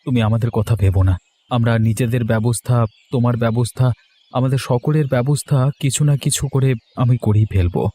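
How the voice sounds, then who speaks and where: A man's voice: medium pitch, a little fast, mellow, close to an everyday voice. A man voicing an earnest, troubled young husband in a cartoon story. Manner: downcast, earnest. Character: dejected young husband who has lost his job. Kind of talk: Bengali cartoon story dialogue.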